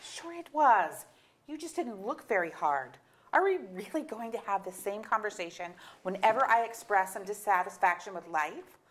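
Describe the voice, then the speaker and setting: A woman's voice: medium pitch, expressive, moderate pace, natural voice. An actress playing a blunt, exasperated middle-aged wife and mother in a staged reading of a comic drama, speaking in emphatic, sardonic phrases. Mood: angry, scolding. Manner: sardonic, emphatic, long articulate tirades. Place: theatre auditorium.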